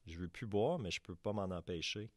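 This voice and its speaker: A man's voice: low-pitched, brisk. A man in his thirties with a Québécois French accent, hosting a podcast and speaking in a plain, matter-of-fact way. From Quebec. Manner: plain, matter-of-fact, fillers like fait que. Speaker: podcast host. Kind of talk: casual conversational interview.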